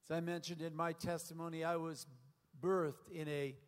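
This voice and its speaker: Older preacher in a reverberant church. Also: male voice, on the high side, flat delivery, smooth. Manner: short phrases paused for translation, playful. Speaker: guest preacher. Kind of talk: sermon through an interpreter. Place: church hall.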